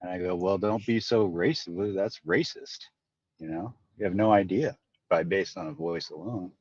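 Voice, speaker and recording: A man chatting casually in an online voice chat, laid-back and often trailing off softly. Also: sits low, normal speed, slightly rough, no room sound. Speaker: voice chat participant. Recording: dry lo-fi voice chat audio.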